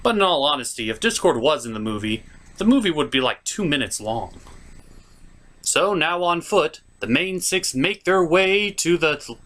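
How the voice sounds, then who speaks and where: Wry man narrating with lively pitch swings. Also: normal speed. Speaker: host of a comedic puppet-show movie review. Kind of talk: comedic scripted narration of a film recap.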